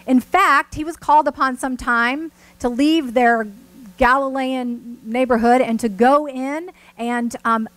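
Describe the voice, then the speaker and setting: Female preacher, middle-aged, higher voice, deliberate and emphatic sermon delivery. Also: no echo, poor audio. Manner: deliberate, emphatic phrasing with audience check-ins. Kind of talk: church sermon. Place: church sanctuary with a seated congregation.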